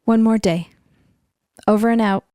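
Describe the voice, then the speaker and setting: A woman's voice: on the high side, fairly even intonation, a little slow, dark tone, close to an everyday voice. An American actress playing a tough, guarded female leader of a neighborhood militia in a naturalistic post-apocalyptic radio drama. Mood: guarded, tender.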